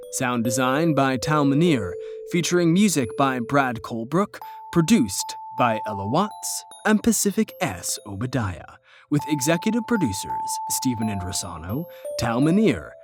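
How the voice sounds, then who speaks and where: Credits voice, bouncy pitch, music clearly playing under it. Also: very animated, moderate pace. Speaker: credits announcer. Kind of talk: reading production credits.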